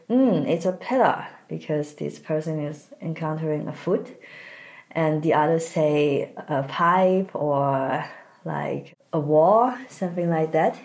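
A woman from Taiwan, a native Mandarin speaker with a Taiwanese accent, talking reflectively as an interview guest and pausing to search for words. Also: low voice, a little mellow. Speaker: podcast guest, dance artist.

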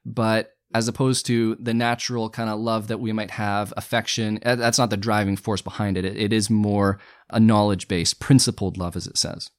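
Male co-host, lowish voice, measured and teacherly, dry studio sound. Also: flat delivery, no echo. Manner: measured, teacherly, explains word roots. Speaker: podcast co-host. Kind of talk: explanatory Bible study talk.

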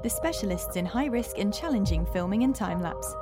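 A woman's voice: medium pitch, expressive, brisk. Woman reading a sponsor spot. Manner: smooth scripted delivery. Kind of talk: sponsor read. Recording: voice under loud music.

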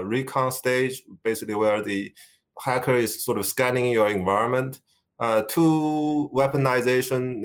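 Chinese-accented man, halting and filler-heavy. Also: mid-range voice. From China. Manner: hesitant, many fillers and restarts. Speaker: guest, tech company executive. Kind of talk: conversational interview.

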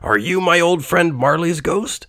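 Man doing a high, cranky old miser. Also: measured pace, vocal fry, bright, forceful tone, dry recording, studio quality, exaggerated voice. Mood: fearful. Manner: snappish, cranky and clipped. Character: greedy cold-hearted miserly old man.

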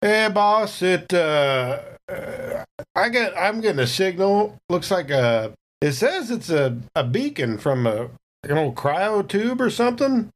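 Guy doing a goofy space trucker, higher voice, folksy, kinda flustered, tabletop game. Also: very animated, slow, exaggerated voice. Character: Bosco, excitable, folksy, bumbling male space trucker.

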